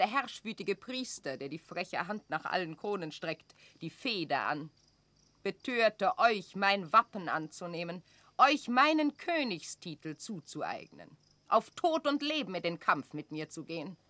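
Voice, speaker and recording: A sharp-voiced, imperious queen. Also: mid-range voice, very animated, no room sound. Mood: accusing, scornful. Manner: imperious, measured, declamatory. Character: haughty, cold, suspicious reigning queen. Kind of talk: classical German stage drama radio play.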